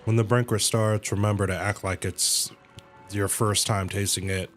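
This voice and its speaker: A man's voice: on the low side, steady tone, normal speed, fairly bright tone. A man livestreaming a game, casually reading dialogue aloud and reacting in slang, sometimes stumbling and correcting himself. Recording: game music behind the voice. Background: video game music.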